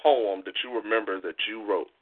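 A man hosting a casual interview in an animated, playful, slangy way, as a street poet who presents a show. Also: lowish voice, fairly quick, a little hiss or hum. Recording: tinny phone-line internet radio sound.

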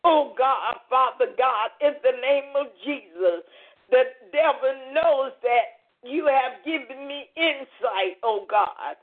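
High-pitched, slow, fervent prayer leader on phone-like audio. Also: expressive. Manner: fervent, repetitive exclamatory invocations. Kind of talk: extemporaneous intercessory prayer. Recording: muffled narrow phone-like audio.

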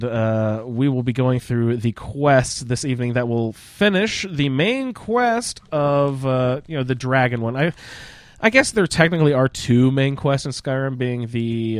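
A man hosting a casual gaming roundtable podcast, speaking in halting phrases with searching pauses. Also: mid-range voice, no room sound. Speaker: podcast host. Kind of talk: casual roundtable chat about a video game.

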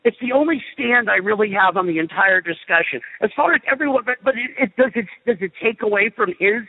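A man's voice: high voice, fast talker, husky. A man giving blunt, sarcastic opinions as a radio guest on a phone call. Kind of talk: opinionated sports talk. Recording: tinny phone line with long reverb.

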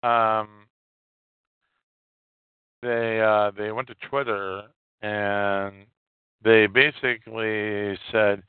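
Slow, halting man on a phone-like line. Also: on the low side, flat delivery, smooth, no room sound. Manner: halting, hesitant delivery with pauses. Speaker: podcast host. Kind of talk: casual news commentary. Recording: narrow phone-like audio, dry.

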